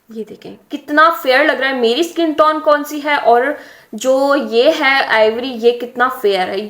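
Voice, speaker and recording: A young woman talks through a makeup tutorial in a high, bright voice. She is chatty and explanatory, pausing with small hesitations. The room around her is echoey. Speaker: beauty vlogger.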